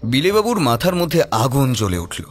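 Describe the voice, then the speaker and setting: Animated male story narrator. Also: mid-range voice, brisk, faint music behind. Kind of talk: dramatic scripted horror story narration.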